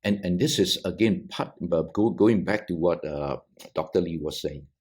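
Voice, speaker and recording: A middle-aged man from Malaysia, a theologian on a discussion podcast, talking in a halting way with frequent restarts and repeated words. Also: low-pitched, darkish tone. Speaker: theologian panelist. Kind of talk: thoughtful discussion on a video podcast.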